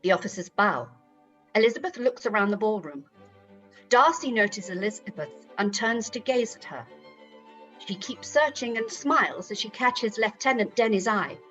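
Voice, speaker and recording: A woman's voice: mid-range voice, expressive, normal speed, a bit bright, lo-fi sound, soft background music. A woman reading scripted stage directions as the narrator of a period radio play.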